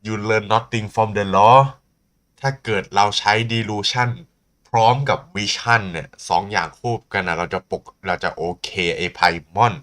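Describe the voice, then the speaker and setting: A man's voice: on the low side, racing, a bit bright. A man with a Thai accent, a native Thai speaker, giving playful, casual live commentary as a game streamer and switching languages.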